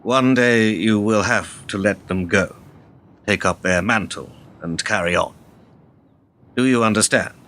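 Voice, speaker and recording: An actor in a radio drama playing an ageing, smooth-talking political fixer, cynical, measured and self-assured. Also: male voice, lowish voice, pushed and bright. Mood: grave, persuasive.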